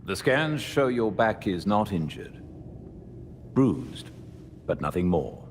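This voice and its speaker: An actor playing a calm, precise male guardian who speaks in a measured, formal way. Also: fairly low pitch, in a large hall, slight background noise. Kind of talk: video game cutscene acting.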